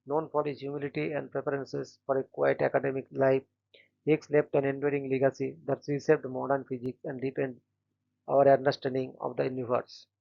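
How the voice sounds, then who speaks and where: A man hosting a daily channel, reading short scripted biographies in a flat, steady way and stumbling now and then on names. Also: medium pitch, brisk, vocal fry, highs cut off. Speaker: host of a daily events channel.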